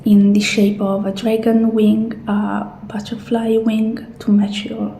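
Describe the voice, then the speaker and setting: A woman doing a soft, partly whispered ASMR roleplay as a gentle attendant walking a client through options. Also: mid-range voice, monotone, takes it slowly, gravelly. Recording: roomy echo, faint background noise.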